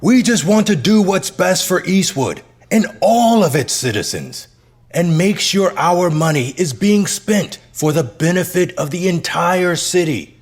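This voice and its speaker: An actor playing an evasive, self-important mayor with a measured, curt manner in an audio drama. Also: male voice, high-pitched, lively intonation. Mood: placating.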